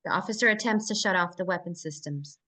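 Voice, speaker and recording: A woman's voice: fairly low pitch, quick pace, bright, forceful tone. A woman narrator reading screenplay stage directions aloud at a live table read.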